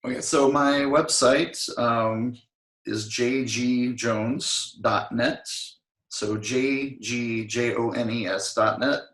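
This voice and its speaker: A man talks loosely in an interview, circling back with fillers and restarts as he goes. His voice is a little hoarse from singing, and it rings around a roomy space. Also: medium pitch, fairly even intonation, slow pace, a bit bright. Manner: rambling, frequent "I mean" fillers. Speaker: interview guest, singer. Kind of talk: casual interview talk.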